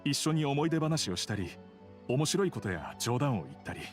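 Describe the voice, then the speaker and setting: A man's voice: medium pitch, sing-song, racing, faint music behind, natural voice. A man playing an earnest, polite and devoted middle-aged family man in a video game, a little wistful.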